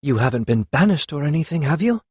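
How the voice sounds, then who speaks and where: A man voicing a sharp, indignant young woman of a royal court in an audiobook. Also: fairly high pitch, big pitch swings, quick pace, slightly rough, no echo, pitched above the performer's own voice. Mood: worried. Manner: pointed, sharp.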